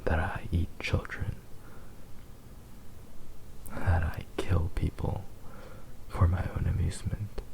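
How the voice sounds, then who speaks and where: ASMR roleplay: doting, possessive male fox demon, played by a man; dark mellow tone, very even, hushed and soothing. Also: deep voice, heavily acted, low-quality recording. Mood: wounded, hushed. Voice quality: breathy, whispery. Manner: slow, tender, soothing, halting.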